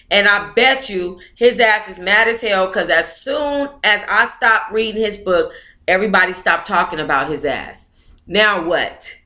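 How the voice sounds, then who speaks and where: Blunt woman with a bright voice. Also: mid-range voice. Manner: blunt, informal, opinionated, swears freely. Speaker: host of an online book club. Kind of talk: reading a book aloud with candid casual commentary.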